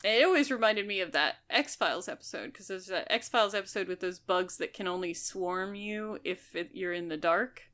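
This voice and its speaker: A woman's voice: mid-range voice, moderate pace, few pauses, a bit bright. A woman co-hosting a podcast in casual chat, rambling on enthusiastically and piling detail onto detail. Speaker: podcast co-host.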